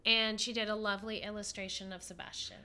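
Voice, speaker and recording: Bright-voiced, nasal woman with a cold. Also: medium pitch, fairly even intonation, slight background noise. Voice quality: a little nasal. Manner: chatty, with many fillers and pauses. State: stuffed up with a head cold. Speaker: podcast co-host. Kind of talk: casual podcast chat.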